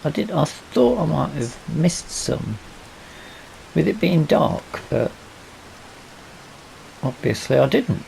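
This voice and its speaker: Creaky-voiced man chatting over game noise. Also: fairly high pitch, big pitch swings, takes it slowly, pauses often, darkish tone. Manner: muttering to himself, thinking aloud. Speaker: gaming video host. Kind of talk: casual let's play commentary. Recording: noisy, rain and vehicle sounds. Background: farming game rain and vehicle noise.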